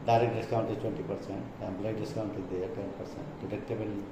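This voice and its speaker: Male trainer, Indian accent, didactic explanatory delivery, captured in a reverberant room with clear noise. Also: fairly low pitch, flat delivery, fast talker. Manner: didactic, reading points then explaining them. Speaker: insurance trainer. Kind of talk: explanatory product presentation.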